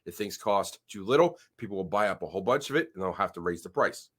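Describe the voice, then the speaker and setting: A man's voice: deep voice, animated, fairly bright tone. A man hosting his own channel, talking casually through news while sharing his screen. He sounds a little nasal from a head cold and often stops and restarts his sentences. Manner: rambling, halting restarts. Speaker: channel host. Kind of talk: casual screen-share commentary.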